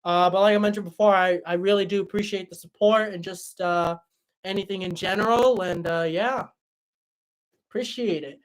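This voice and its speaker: A young man hosting a livestream and answering chat in a relaxed, chatty way, his voice tired after hours of talking. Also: high-pitched, moderate pace, low-quality recording. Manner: relaxed, chatty, many fillers and restarts. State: voice tired after a long stream. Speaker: livestream host reading chat.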